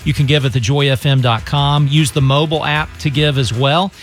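An earnest man making a radio fundraising appeal in a slightly high voice. He reads donor names at a steady pace, with faint music under him. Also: a little fast. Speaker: radio host.

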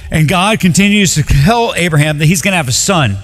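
A young man from Colombia preaching a sermon in a relaxed, conversational way, full of "like" and quick restarts. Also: a little high, faint music behind. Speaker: pastor. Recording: long reverb of a large hall.

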